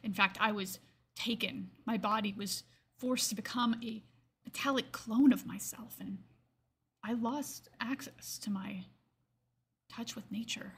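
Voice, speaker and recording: A woman voicing a gentle old gray-furred lion-folk druid in a fantasy game monologue, wistful and reflective, with pauses and hushed trailing phrases. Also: a little high, husky, exaggerated voice. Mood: wistful, resigned.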